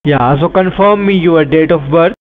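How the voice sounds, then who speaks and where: A man's voice: fairly high pitch, moderate pace. A man posing as an officer on a phone call, speaking in an insistent, formal way and repeating his instructions. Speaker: scam caller posing as an officer. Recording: tinny phone line.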